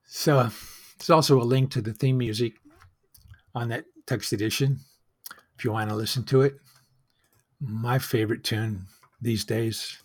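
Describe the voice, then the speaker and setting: A man's voice: mid-range voice, steady tone, a little slow, pauses often. Reflective man telling stories as a host. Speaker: host of a solo storytelling show. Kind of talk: personal storytelling monologue.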